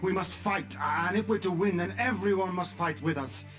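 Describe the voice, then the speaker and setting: A man's voice: high voice, normal speed, fairly bright tone, soft background music. A man playing an earnest young resistance leader in a game, pleading and resolute. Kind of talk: game cutscene dialogue.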